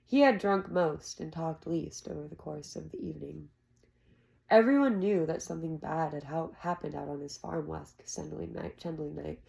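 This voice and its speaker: Creaky, lowish-voiced American woman narrating. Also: normal speed, darkish tone. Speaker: amateur audiobook reader. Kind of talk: expressive scripted fantasy narration.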